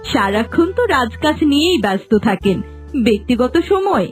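Gentle high-voiced queen played by a woman. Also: sing-song, moderate pace, faint music behind. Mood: tender. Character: gentle compassionate queen, mother of princes. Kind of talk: Bengali fairy-tale cartoon voice acting.

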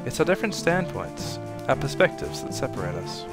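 A man's voice: mid-range voice, very animated, fairly quick, creaky, over loud music. A man voicing an aloof, serious young mercenary, reserved and matter-of-fact, in a game dub. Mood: detached.

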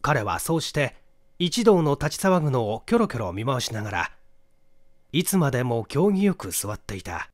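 A man narrating a mystery story from a script in an expressive storytelling manner. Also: medium pitch, moderate pace, no room sound, clean studio sound. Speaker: audiobook narrator, stage actor.

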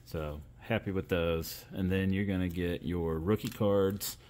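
A man's voice: low-pitched, fairly even intonation, unhurried, a little mellow. A man hosting a casual video, giving running commentary as trading cards are opened. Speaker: host of a card-opening channel.

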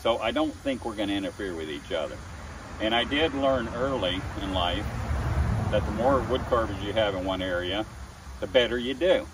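A man's voice: on the low side, animated, normal speed, far from the mic. A man in his sixties, a wood carver, telling folksy stories to a phone camera in an outdoor wood yard, chuckling at himself. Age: 60s. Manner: folksy, chuckling, self-deprecating storyteller. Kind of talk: casual talk to camera. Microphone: phone camera at a distance. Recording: voice slightly off-mic, faint outdoor noise.

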